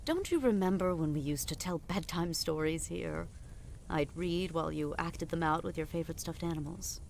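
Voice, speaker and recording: A woman's voice: lowish voice, normal speed, vocal fry, a little mellow, slight background noise. A woman playing a haughty middle-aged queen and guilt-tripping mother in an audio drama, dismissive and cajoling by turns. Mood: coaxing, wistful. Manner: dismissive, cajoling, imperious, with exasperated sighs. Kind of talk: audio drama podcast acting.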